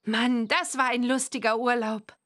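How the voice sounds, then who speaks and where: A woman's voice: a little high, steady tone, a little slow, slight background noise. A woman voicing a cheeky, cheerful young girl witch in a children's computer game, exclamatory and sing-song. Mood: fondly reminiscing. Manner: bright, exclamatory, sing-song when chanting spells.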